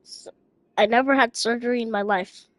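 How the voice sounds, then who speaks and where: A woman's voice: fairly high pitch, steady tone, normal speed, no echo. A young woman live-streaming, chatting casually with other players in a slangy way and repeating phrases insistently, with music behind her.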